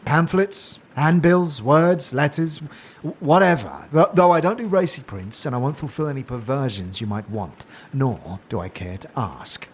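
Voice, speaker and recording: An actor playing an irritable, curt and condescending stooped printer in a fantasy audio drama. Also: male voice, mid-range voice, animated, unhurried, a little hiss or hum, natural voice. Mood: irritated. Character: irritable tight-lipped stooped printer of uncertain age.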